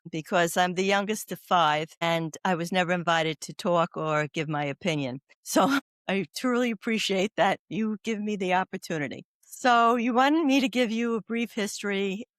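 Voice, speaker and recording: A woman's voice: medium pitch, normal speed, no room sound, studio quality. An older woman from New York with a Brooklyn accent, a chatty, self-deprecating guest telling stories in a casual interview. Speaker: guest, oncology doula.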